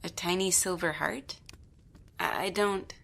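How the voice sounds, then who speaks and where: Woman playing a bright-voiced, skeptical, bewildered mother. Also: on the low side, steady tone, a little slow, creaky, full character voice. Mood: hesitant, subdued. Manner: hesitant, incredulous. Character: skeptical, bewildered mother who makes dolls. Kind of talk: audio drama dialogue.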